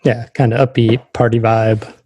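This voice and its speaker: A man's voice: mid-range voice, flat delivery, moderate pace, mellow, breathy edge, no room sound. A man hosting a podcast, interviewing guests in a casual, conversational way.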